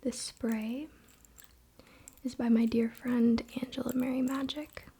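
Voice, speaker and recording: A young woman whispering in a breathy, gentle, casual way as she hosts a spiritual ASMR ritual. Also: fairly high pitch, little pitch movement, takes it slowly. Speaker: ASMR host. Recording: faint background noise, soft clicks.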